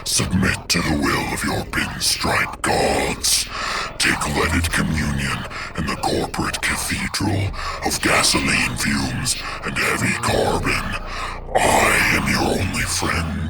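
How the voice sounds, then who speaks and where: A man's voice: rumbling low, creaky, full character voice. A man voicing a monstrous, deranged corporate bird creature in an audio drama, chanting cryptic, ominous pronouncements through a modulated voice. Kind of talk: surreal audio drama monologue. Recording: heavy noise and ambience over voice.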